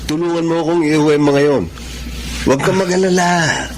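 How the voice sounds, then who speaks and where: A man's voice: fairly high pitch, normal speed, noisy background, natural voice. A man with a Filipino accent acting as a kindly, teasing university professor in a radio-style drama. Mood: teasing, friendly.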